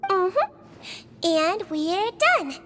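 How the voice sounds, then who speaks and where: A woman's voice: very high voice, sing-song, drawn-out words, a little hiss or hum, full character voice. A woman voicing a small, sweet girl nurse in a video game, speaking in a childlike, polite and earnest way. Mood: cheerfully instructive. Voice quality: girlish, childlike. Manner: polite, earnest, gently instructive.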